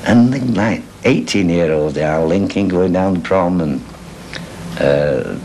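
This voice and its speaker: An older man speaks in a low, deep voice, pausing and restarting with fillers. He is interviewed at home for a documentary. The sound comes from an old tape with faint hiss. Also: dark tone. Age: 60s. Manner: hesitant, halting with many fillers. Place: living room at home.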